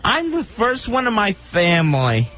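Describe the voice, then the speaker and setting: Very high, tense, slow-talking man. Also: huge pitch swings, sharp tone. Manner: halting, emphatic, upset. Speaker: radio host. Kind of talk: emotional personal monologue on radio. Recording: dull lo-fi radio recording.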